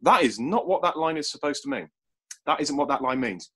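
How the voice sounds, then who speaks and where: A man talks very quickly in an informal panel conversation. His voice is somewhat husky and unpolished. He rambles, repeating and restarting phrases as he works through his thoughts. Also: a little high, animated, sharp tone. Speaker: audio drama writer. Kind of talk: casual panel chat. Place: remote online call.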